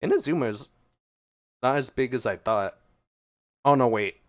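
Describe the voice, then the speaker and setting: A man giving casual, expressive gameplay commentary, talking to himself playfully as he plays. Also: mid-range voice, unhurried. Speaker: gaming streamer. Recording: game music behind voice. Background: video game music.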